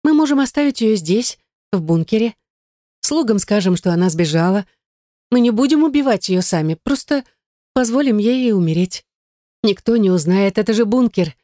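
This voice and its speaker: A woman's voice: mid-range voice, lively intonation, normal speed, mellow, no room sound, studio quality, pitched above the performer's own voice, lightly acted. A woman narrator voicing an obsessive, jealous woman in love, emotional and insistent in an audiobook drama. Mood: scheming, cajoling.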